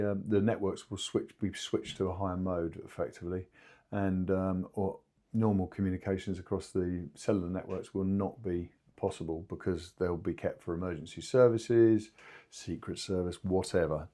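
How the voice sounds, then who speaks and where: A man explaining things haltingly in an informal podcast discussion, as a company spokesman. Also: low-pitched, mellow. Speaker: two-way radio company spokesman.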